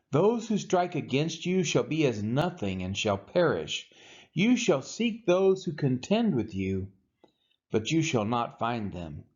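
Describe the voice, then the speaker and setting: A man reading scripture aloud in a dramatic, solemn and emphatic way, with measured pauses. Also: mid-range voice, a little mellow, muffled recording. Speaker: Bible reader.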